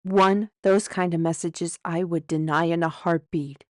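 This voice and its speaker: A woman playing a defiant, sharp-tongued steward in a fantasy audio drama, speaking in a sardonic, outspoken way. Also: fairly low pitch, fairly even intonation, measured pace, dry recording, clean studio sound, natural voice. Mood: indignant.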